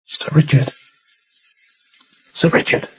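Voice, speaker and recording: An actor playing a polite, deferential manservant in a radio drama. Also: male voice, takes it slowly, muffled recording, slight background noise, natural voice.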